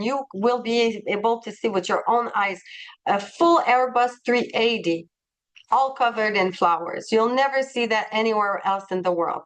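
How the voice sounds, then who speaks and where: A woman's voice: medium pitch, fairly bright tone, rough recording. A woman with a non-native English accent, presenting a tour itinerary to travel agents on a webinar. She is enthusiastic and often hesitates and restarts.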